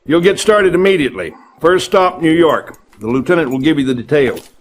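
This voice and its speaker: An actor playing a gruff, blustery middle-aged army general in a video game cutscene, blunt and folksy in manner. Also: male voice, fairly high pitch, expressive, fairly quick. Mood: brisk, commanding.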